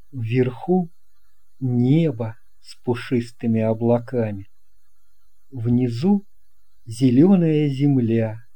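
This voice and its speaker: A narrator reading a children's story with deliberate, measured phrasing. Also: mid-range voice, warm tone. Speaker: audiobook narrator. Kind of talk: scripted narration of a children's tale.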